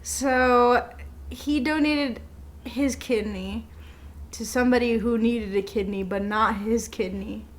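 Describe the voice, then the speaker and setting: A woman's voice: fairly high pitch, fairly even intonation, slow pace. A young woman co-hosting a podcast in loose, casual chat with a friend, blunt and informal. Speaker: podcast co-host.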